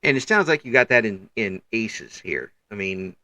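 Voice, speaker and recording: A man hosting a casual interview, talking in halting restarts and chuckling often. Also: fairly low pitch, lively intonation, sharp tone, dry recording. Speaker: interview show host. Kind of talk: casual video-call interview chat.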